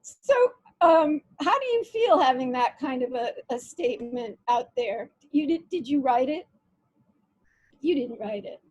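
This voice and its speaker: A woman hosting a conversational interview over a video call, reading passages aloud and talking with frequent pauses and fillers. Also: high voice, animated, a little mellow, no room sound, poor audio. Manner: hesitant, reads quotes aloud, many fillers. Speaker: interview host.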